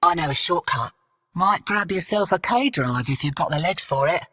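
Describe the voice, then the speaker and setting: A woman's voice: fairly low pitch, expressive, normal speed, a bit bright, long reverb tail, distant mic. An actress playing a brash, streetwise female smuggler and commander in a video game, with a cocky, blunt, slangy delivery. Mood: friendly, sly. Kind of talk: video game character dialogue.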